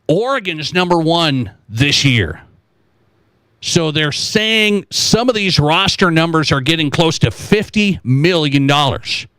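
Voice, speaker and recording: A man hosting a sports talk radio show in an animated, conversational way, throwing questions at listeners and repeating phrases for emphasis. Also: on the high side, smooth, dry recording.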